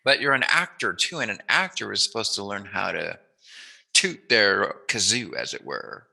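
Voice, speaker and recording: A man's voice: on the low side, big pitch swings, moderate pace, vocal fry, bright, forceful tone, husky, low-quality recording. A man hosting a casual chat interview, talking in a playful, jokey, musing way. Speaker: interviewer, host.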